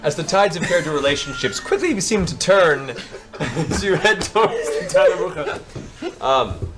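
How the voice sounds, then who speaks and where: A man narrating a tabletop fantasy game as game master, improvising theatrical descriptions with deliberate pauses. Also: on the high side, expressive, crowd noise. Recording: music bed behind the voice.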